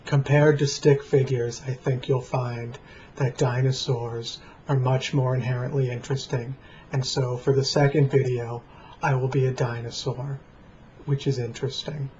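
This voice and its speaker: An American man rambling casually and deadpan to camera in a plain, flat voice. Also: mid-range voice, normal speed. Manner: deadpan, dry. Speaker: vlogger. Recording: dull lo-fi webcam sound, faint noise.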